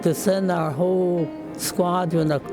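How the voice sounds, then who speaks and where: Older male veteran, nineties, slightly high voice, halting recollection with chuckles. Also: fairly even intonation, music in the background. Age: 90s. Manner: halting, many restarts, chuckles often. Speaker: war veteran. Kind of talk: casual reminiscing.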